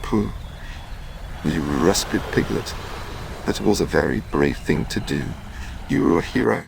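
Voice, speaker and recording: Man playing a creaky low-voiced kindly boy. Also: a little slow, a little hiss or hum, exaggerated voice. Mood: proud, kind. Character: kindly, encouraging young boy.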